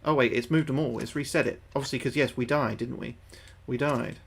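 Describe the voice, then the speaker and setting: A man's voice: medium pitch, fairly even intonation, a little fast, creaky, slight background noise. A young man livestreaming a game, thinking aloud to his chat, sweary and repeating himself. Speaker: livestreaming gamer. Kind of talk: casual gameplay commentary to chat.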